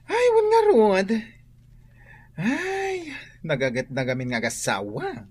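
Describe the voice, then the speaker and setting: Wildly swooping, excitable Ilocano gossip. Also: mid-range voice, takes it slowly, breathy edge, heavily acted. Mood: animated. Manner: exaggerated swooping exclamations. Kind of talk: radio drama acting.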